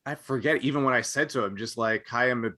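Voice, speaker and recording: A man hosting a casual podcast discussion, thinking aloud in a halting way. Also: medium pitch, sharp tone. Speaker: podcast host.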